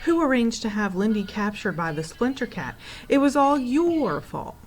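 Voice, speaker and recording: A woman's voice: medium pitch, animated, normal speed, slight background noise, lightly acted. A woman voicing a fierce, loyal young boy in a storybook, speaking emphatically and defiantly. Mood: angry, defiant.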